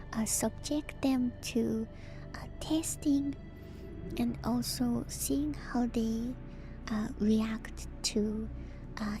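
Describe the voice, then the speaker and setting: A woman streamer whispering softly and breathily in an ASMR style, musing gently and hesitantly through game lore commentary close to the microphone. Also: a little high. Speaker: streamer, VTuber host. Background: music.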